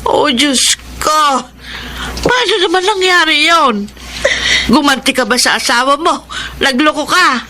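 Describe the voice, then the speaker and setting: A woman's voice: high voice, lively intonation, measured pace, bright, forceful tone, a little hiss or hum, exaggerated voice. An actress playing a worried older mother who presses her grown daughter with anxious questions in a classic radio drama.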